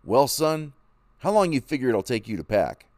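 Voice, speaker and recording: A man's voice: medium pitch, very animated, moderate pace, a little mellow, no room sound, studio quality, pitched above the performer's own voice. A man voicing a determined young pioneer father who is set on heading west. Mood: eager. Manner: firm, eager. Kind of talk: storybook reading.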